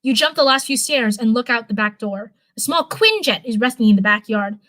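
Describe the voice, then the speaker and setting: A woman's voice: fairly high pitch, animated, fairly quick, a bit bright, no room sound. A woman reading a story aloud as narration, now and then stumbling over a word and going back over it. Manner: stumbles over words and rereads them. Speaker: live stream host reading fan fiction.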